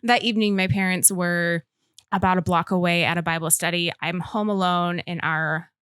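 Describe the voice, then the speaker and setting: A woman's voice: fairly low pitch, fairly even intonation, moderate pace, a bit bright, smooth, no room sound, studio quality. A young woman who grew up in Indonesia, sharing her personal faith story earnestly as an interview guest. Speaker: guest, aspiring missionary.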